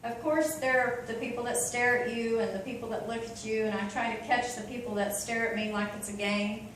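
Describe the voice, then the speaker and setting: A woman's voice: a little high, little pitch movement, fairly quick, very echoey, recorded from a distance, a little hiss or hum, poor audio. A woman from Oklahoma with an Oklahoma accent, talking in a chatty, open way with many ums and you-knows. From Oklahoma, USA. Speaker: convert giving a talk. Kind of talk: casual personal talk.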